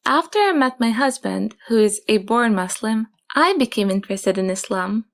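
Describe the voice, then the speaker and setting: A young woman, calmly telling her own story in a soft, measured way. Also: on the high side. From Japan. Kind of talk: calm personal testimony.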